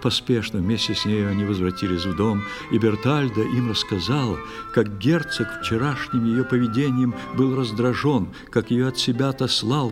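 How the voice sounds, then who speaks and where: A man narrating a verse fairy tale in an expressive, scripted storytelling style. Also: lowish voice. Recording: music behind the voice. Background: clear instrumental music.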